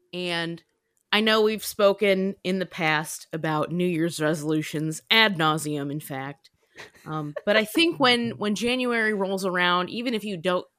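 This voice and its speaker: A woman's voice: fairly low pitch, a bit bright, no echo, studio quality. A woman co-hosting a casual podcast, chatty, laughing often and using many fillers. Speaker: podcast co-host. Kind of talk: casual chat.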